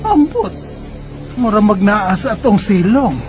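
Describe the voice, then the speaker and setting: A woman's voice: mid-range voice, very animated, measured pace, over loud music. A woman with a Bisaya accent playing a homely, chiding middle-aged mother in a radio drama. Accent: Filipino Bisaya.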